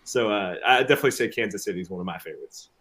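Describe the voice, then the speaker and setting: A man's voice: fairly low pitch, very fast, fairly bright tone. A man from a touring rock band, chatting in an enthusiastic, informal way during an interview. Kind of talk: casual interview chat.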